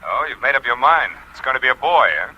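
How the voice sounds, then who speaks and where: Army major on a crackly long-distance call. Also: male voice, medium pitch, fast talker. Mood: doubtful, surprised. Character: surprised army major husband calling from abroad. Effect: long-distance telephone line. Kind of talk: old-time radio drama acting. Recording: sharp, noisy crackling phone line.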